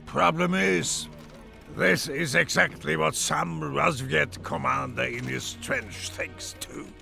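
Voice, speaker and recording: A weary, principled old soldier in a game cutscene. The delivery is slow and reproachful, in a heavily gravelled voice with a bright, forceful edge. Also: male voice, a little high, steady tone, faint music behind, full character voice. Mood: grave, weary. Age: older. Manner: stern, reproachful, measured. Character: principled, weary old German war veteran.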